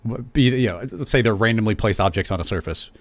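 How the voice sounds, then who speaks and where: A man hosting a livestream show, chatting in a relaxed way and reading out viewer questions. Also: fairly low pitch, quick pace, no echo. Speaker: host.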